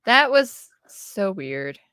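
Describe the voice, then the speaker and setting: Wry woman with lively, swinging intonation. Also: medium pitch, very slow, clean tone, no echo, studio quality. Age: late 30s. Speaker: writer co-hosting a live stream. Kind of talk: casual chat.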